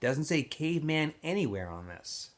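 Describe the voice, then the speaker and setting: A man's voice: on the high side, big pitch swings, moderate pace. Man voicing an officious, needling male counselor.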